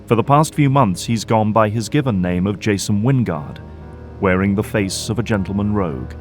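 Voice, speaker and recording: A man's voice: lowish voice, lively intonation, normal speed, faint music behind. A man delivering grave, florid scripted narration for an audio drama.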